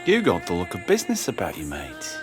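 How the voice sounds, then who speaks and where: A man's voice: low voice, huge pitch swings, brisk. A man playing a friendly, knowing colonist bartender in a sci-fi radio drama, chummy and slangy. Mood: easygoing, knowing. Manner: chummy, slangy, calls listener mate. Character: friendly, knowing male space-colonist bartender with pirate tattoos. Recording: music behind the voice.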